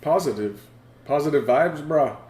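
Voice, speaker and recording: A man in his thirties, a podcast guest speaking in a calm, measured and easygoing way. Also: fairly high pitch, a little hiss or hum. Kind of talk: casual chat. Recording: roomy echo.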